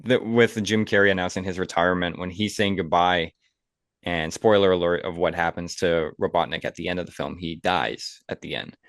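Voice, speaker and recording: A man's voice: deep voice, fairly even intonation, moderate pace, no room sound, studio quality. A man co-hosting a podcast in casual chat, talking in a rambling way with emphatic opinions. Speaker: podcast co-host.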